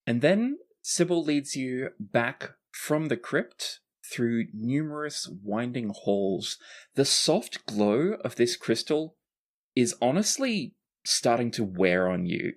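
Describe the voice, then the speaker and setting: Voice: medium pitch, animated, takes it slowly. A game master narrating a tabletop roleplaying game in a casual way, with some swearing.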